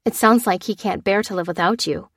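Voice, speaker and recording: A woman's voice: medium pitch, brisk, no room sound, studio quality, natural voice. A woman voicing a fierce, determined female survivor in an audiobook, urgent and combative. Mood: insistent. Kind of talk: dramatised audiobook dialogue.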